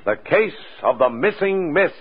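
A man's voice: fairly high pitch, sing-song, unhurried. Vintage radio announcer, man, with music. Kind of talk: 1940s radio announcing and scripted narration. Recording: tinny vintage radio recording.

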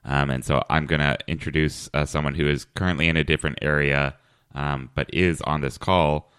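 Very deep-voiced, dry-humored male host. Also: steady tone, a bit bright, clean tone, no room sound. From Kentucky. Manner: dry, halting with many fillers. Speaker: podcast host. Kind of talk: deadpan comedic podcast chat.

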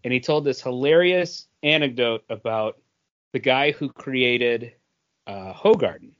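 A man co-hosting a podcast, telling things casually in a halting, conversational way. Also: mid-range voice, dull audio. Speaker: podcast co-host. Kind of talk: casual chat, telling anecdotes.

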